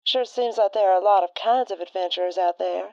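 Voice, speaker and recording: A woman's voice: mid-range voice, brisk, darkish tone, dry recording, dull audio, lightly acted. A woman playing a folksy, friendly radio co-host in an audio drama, with homespun phrasing. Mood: admiring.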